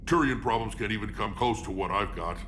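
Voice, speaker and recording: An actor playing a gruff, battle-hardened alien warlord in a video game, proud and wry, with blunt delivery and a growling voice. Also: male voice, brisk, distant mic, background noise, natural voice. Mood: grumbling. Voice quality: deep gravelly growl. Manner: blunt, sardonic, weighty delivery. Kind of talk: video game character acting.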